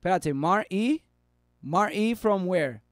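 A man's voice: high voice, lively intonation, measured pace, crowd noise. A man in his thirties streaming live stock chart commentary, chatty and upbeat as he reads viewer comments, his voice worn from talking. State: losing his voice. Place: small room at a desk.

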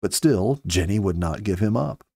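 A man in his fifties reading a story aloud with earnest, measured, dramatic phrasing, close to a studio microphone. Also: on the low side, expressive, vocal fry, warm tone, breathy edge, no room sound. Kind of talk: expressive audiobook-style story narration. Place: small home study, indoors. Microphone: close studio mic with pop filter.

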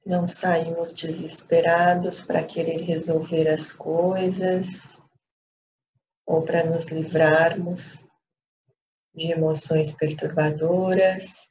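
A Brazilian woman leading a guided meditation as a teacher, speaking calmly in short phrases with long pauses. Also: deep voice. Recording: dull lo-fi sound with light background noise.

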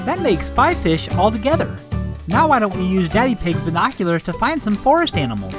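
Woman playing an excitable little girl piglet. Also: mid-range voice, a little fast, over music, close to an everyday voice. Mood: delighted, curious. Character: cheerful curious young girl piglet, eager explorer. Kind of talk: children's toy play storytelling.

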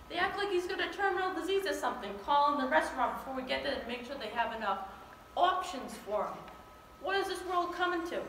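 A young woman performing a comedic stage monologue as an exasperated old grandmother, dramatic and complaining. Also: high voice. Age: young adult. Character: feisty, exasperated old Italian American grandmother from Brooklyn. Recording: distant, echoey, noisy hall recording.

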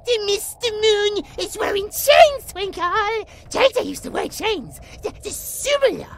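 A woman voicing a giddy, anxious, childlike imp with a squeaky voice and stammering, babyish speech in a horror audio roleplay. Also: sing-song, takes it slowly, pushed and bright, slight background noise, heavily acted. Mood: giddy excitement. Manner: stammering, babyish wording, theatrical swoops.

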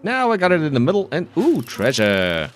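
A man's voice: on the high side, huge pitch swings, soft background music. A man giving casual, playful playthrough commentary on a video game, often musing to himself. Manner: playful, self-talking, drawn-out musing. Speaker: video game player and narrator.

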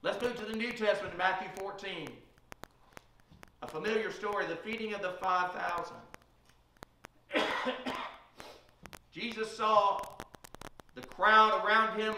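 High bright preacher in an echoey church. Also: male voice, fairly even intonation, measured pace, pauses often, far from the mic, slight background noise. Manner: storytelling preacher retelling Bible stories. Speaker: pastor. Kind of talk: sermon. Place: small church sanctuary, at a pulpit. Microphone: room mic from a far camera.

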